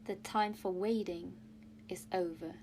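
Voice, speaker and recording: A woman's voice: lowish voice, steady tone, a little slow, mellow. A woman giving solemn, scripted story narration in an audio drama, with a faint hum behind her.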